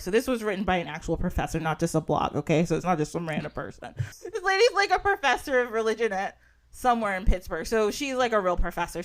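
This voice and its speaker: A woman hosting a podcast, talking in a chatty, casual way with lots of fillers and frequent giggles, sometimes reading from an article. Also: mid-range voice. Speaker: podcast host.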